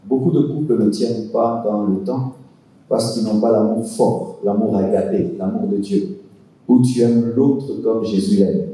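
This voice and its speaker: A man gives earnest, sermon-like advice to couples, pausing for emphasis. His voice is low and very dark. He is heard from a distance in a strongly echoing hall, with a faint hiss behind him. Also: fairly even intonation. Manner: earnest, preaching with emphatic pauses. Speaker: pastor answering couples' questions. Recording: echoey hall from far off, faint noise.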